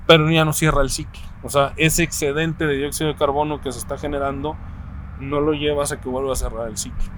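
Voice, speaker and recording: A man in his thirties with a Mexican accent, thinking aloud and explaining ideas step by step, often pausing to find the word. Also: fairly high pitch, a bit bright, a little hiss or hum, poor audio. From Mexico City, Mexico. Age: 30s. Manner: thoughtful, explains step by step with frequent hesitations. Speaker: interviewee. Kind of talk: casual conversation.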